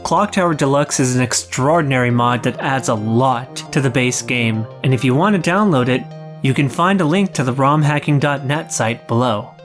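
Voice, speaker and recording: A man with a medium-pitched voice hosts an interview podcast. He delivers scripted lines as the interviewer, with music faintly playing behind him. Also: animated, normal speed.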